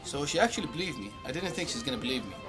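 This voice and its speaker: A man's voice: mid-range voice, big pitch swings. Saudi man with music underneath. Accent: Saudi Arabian. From Saudi Arabia. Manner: joking, halting with many fillers and restarts. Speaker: interviewee, graduate student. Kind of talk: casual interview storytelling. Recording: music playing behind the voice.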